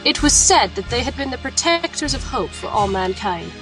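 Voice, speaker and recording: A woman's voice: on the high side, very animated, moderate pace. A woman playing a grave alchemist, delivering theatrical first-person storytelling in a fantasy audio drama. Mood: solemn recounting. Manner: solemn, theatrical. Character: grave female alchemist of a sisterhood, recounting battles. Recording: loud music bed underneath.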